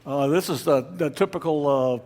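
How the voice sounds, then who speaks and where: A man leading a club meeting, giving informal announcements in a halting, unscripted way. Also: on the high side, a little mellow. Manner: halting, hesitant, unscripted delivery. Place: club meeting room with an audience.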